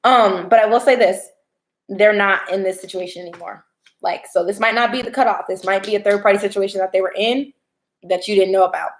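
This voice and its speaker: A young woman hosting a live stream, chatting casually and reading tarot cards, her voice going hoarse. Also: mid-range voice. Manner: chatty, laughs often, swears casually.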